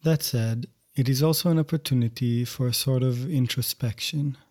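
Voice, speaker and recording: A man teaching yoga nidra, guiding a meditation in gentle, measured, unhurried phrases. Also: medium pitch. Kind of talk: guided meditation instruction.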